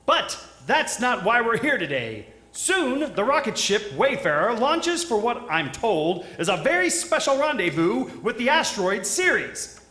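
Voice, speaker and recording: A man's voice: extremely high pitch, big pitch swings, measured pace, sharp tone, full character voice. A man playing a slick, probing talk show host in a live radio play, with polished announcer delivery. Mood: showy. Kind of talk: live radio theatre acting. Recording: echoey live stage recording.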